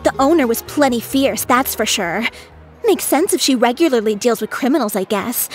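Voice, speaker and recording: A woman's voice: a little high, lively intonation, moderate pace, faint music behind, lightly acted. An actress playing a suspicious, judgmental townswoman gossiping in a video game. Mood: gossipy, uneasy.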